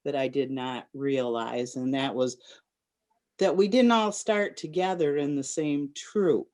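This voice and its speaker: A woman's voice: a bass voice, measured pace, darkish tone. A woman in her sixties from Michigan with an American accent, reminiscing in a group interview. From Michigan, United States. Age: 60s. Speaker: scout troop member.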